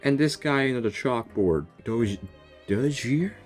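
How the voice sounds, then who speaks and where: A man's voice: mid-range voice, expressive, takes it slowly, faint music behind. A man giving casual reaction commentary over gameplay, teasingly talking back to the characters. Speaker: gaming video creator.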